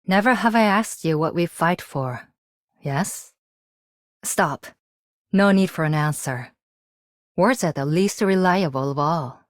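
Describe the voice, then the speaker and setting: A resolute, principled swordswoman from a fantasy game. Her voice is lowish and close and dry, with formal, commanding delivery. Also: takes it slowly, pauses often, no room sound. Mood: stern, resolute. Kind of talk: scripted game voice acting.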